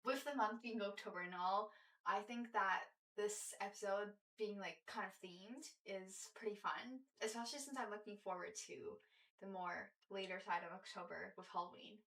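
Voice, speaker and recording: A woman's voice: medium pitch, steady tone, moderate pace, a bit bright. A young woman co-hosting a podcast, chatting casually with many fillers and restarts. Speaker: podcast co-host. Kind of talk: casual chat. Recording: roomy echo, distant mic.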